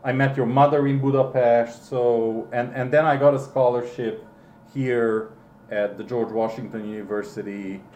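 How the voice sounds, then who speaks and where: A middle-aged man who is not a native English speaker, with a foreign accent, recalling his past slowly and hesitantly, with many ums and restarts. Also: medium pitch, fairly even intonation, darkish tone, roomy sound, dull audio, slight background noise. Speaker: interviewee. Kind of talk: casual recollection.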